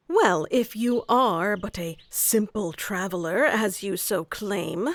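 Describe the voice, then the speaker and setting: A woman's voice: mid-range voice, no echo, clean studio sound, close to an everyday voice. A woman playing a haughty, easily flustered young female elf guarding her forest in a scripted fantasy roleplay, with prickly, old-fashioned wording. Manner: prickly tsundere, archaic wording, stammers when flustered.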